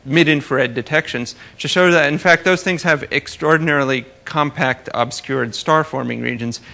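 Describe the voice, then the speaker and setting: A man giving an academic conference talk as a researcher, halting and rephrasing as he explains his slides. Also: a little high, vocal fry, bright, forceful tone. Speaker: astrophysics researcher presenting at a conference.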